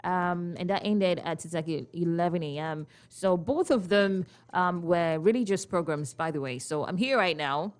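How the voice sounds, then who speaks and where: A woman's voice: on the low side, moderate pace, hardly any pauses, clear, resonant tone. Playful Nigerian woman radio host. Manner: playful and chatty, laughs often, asks listeners questions. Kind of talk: casual radio talk show chat. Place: radio studio. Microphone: studio microphone.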